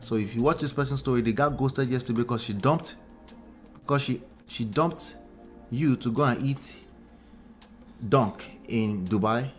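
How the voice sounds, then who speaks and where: A man's voice: mid-range voice, moderate pace, pauses often. A man co-hosts a casual talk with music playing faintly behind him. He talks bluntly and with animation, often repeating a phrase to drive it home. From Nigeria. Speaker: talk show co-host. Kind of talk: casual relationship chat.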